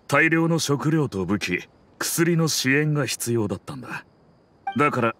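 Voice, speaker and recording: A man voicing a loyal, battle-worn mercenary in a video game, recounting things in a gruff, matter-of-fact way. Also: mid-range voice. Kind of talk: dramatic game acting. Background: soft music.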